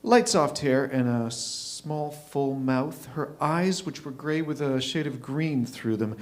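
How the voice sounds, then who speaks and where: A man reading a literary short story aloud in a measured, expressive narrating style. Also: medium pitch. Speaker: reader in a readers' theatre.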